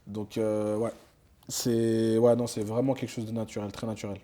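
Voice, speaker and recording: A man's voice: on the low side, level tone, normal speed, warm tone. A young man, a rapper talking casually in an interview, loose and slangy with lots of fillers and restarts. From Switzerland. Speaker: rapper guest.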